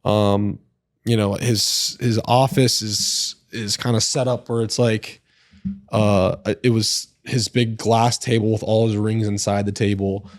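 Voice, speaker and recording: A young man in a podcast chat, telling a long story in a rambling, animated way with lots of filler words. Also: fairly low pitch, unhurried, no room sound, clean studio sound. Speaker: podcast guest. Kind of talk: casual storytelling.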